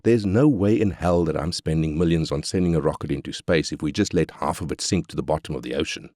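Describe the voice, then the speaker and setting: An arrogant business magnate with an extremely deep voice, recorded dry and close. He is brash, cynical and commanding, a callous corporate boss from a strategy game. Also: fast talker, a little mellow, no echo. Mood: menacing, contemptuous. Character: ruthless, arrogant South African corporate tycoon. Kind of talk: scripted game character quotes.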